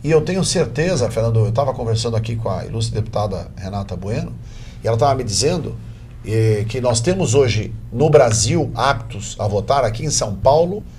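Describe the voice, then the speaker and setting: A man's voice: mid-range voice, lively intonation, a little fast, darkish tone, slight background noise. A man hosting a talk show, chatty and affirming, often agreeing with his guests. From Brazil.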